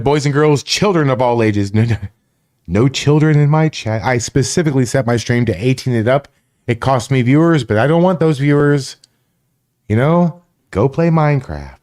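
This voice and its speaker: A man's voice: mid-range voice, darkish tone. A man from Florida hosting a casual live stream, rambling, greeting chat viewers by name and swearing freely. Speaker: livestream host.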